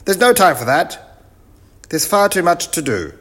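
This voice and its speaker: A man voicing a muddled, excitable, hard-of-hearing old professor for a children's storybook, loud and exclamatory. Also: sits high, unhurried, slight background noise, pitched above the performer's own voice, full character voice. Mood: bossy, excited. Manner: loud, exclamatory, mishears and blunders on cheerfully.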